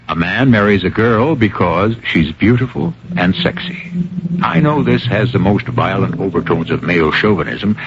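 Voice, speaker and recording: A man hosting a radio mystery drama with scripted narration, measured, wry and rhetorical. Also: low-pitched, a little hiss or hum. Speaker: host and narrator of a mystery anthology. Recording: dull radio recording with music.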